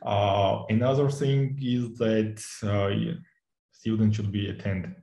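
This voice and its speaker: A man's voice: fairly low pitch, fairly even intonation, measured pace, warm tone, echoey room, low-quality recording. A young adult man from Georgia with a Georgian accent, an instructor answering interview questions in English, hesitant, with many fillers and restarts, laughing often.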